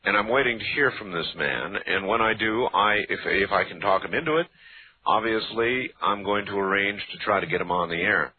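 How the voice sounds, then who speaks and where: A man in his fifties hosting a radio talk show, speaking casually with halting restarts and repeated words. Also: on the low side, slightly rough, no room sound. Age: 50s. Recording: tinny broadcast phone-band audio.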